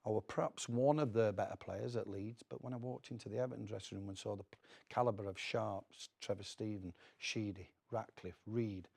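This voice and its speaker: A Northern English man in middle age speaks in a fairly deep voice with plenty of gravel. He reminisces casually as a studio guest, repeating words as he searches for the next one. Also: fairly even intonation, a little fast, a little mellow. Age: middle-aged. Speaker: former footballer, studio guest.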